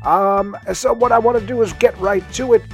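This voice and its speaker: A man's voice: high-pitched, steady tone, moderate pace, faint music behind. A man giving a chatty podcast recommendation in a rambling, self-deprecating way. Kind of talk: chatty scripted-feeling promo talk.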